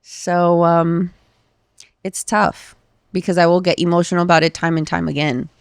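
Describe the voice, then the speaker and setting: A woman's voice: fairly low pitch, level tone, normal speed, creaky, clear, resonant tone, no echo, clean studio sound. A woman in her thirties from Los Angeles, a podcast guest talking candidly and emotionally, with lots of filler words. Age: 30s. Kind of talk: casual conversational interview.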